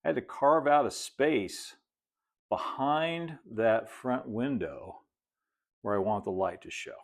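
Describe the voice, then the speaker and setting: A man, narrating a hands-on hobby tutorial in a relaxed, folksy way, as if thinking aloud while he works. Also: medium pitch, slow. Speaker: hobbyist presenter of model railroad build videos.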